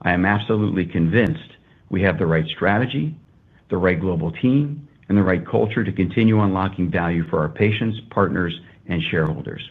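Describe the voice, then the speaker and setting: A man, a company executive, gives a prepared corporate earnings presentation in a measured, formal manner. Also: sits low, slightly rough. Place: earnings conference call. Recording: muffled, phone-like conference call line.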